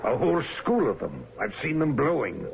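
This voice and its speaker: A stubborn, commanding old skipper from a vintage radio play. His gravelly voice rises and falls dramatically, curt and brooding. The recording is narrow and tinny, thick with noise. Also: mid-range voice, very animated, moderate pace. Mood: stern. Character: stubborn, brooding old whaling ship captain.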